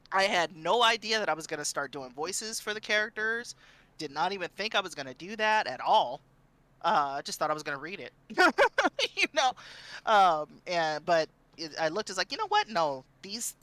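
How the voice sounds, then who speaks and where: A woman's voice: deep voice, fairly bright tone, no room sound. A woman chatting casually as a guest streamer, often repeating herself as she starts her sentences. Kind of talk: casual panel chat.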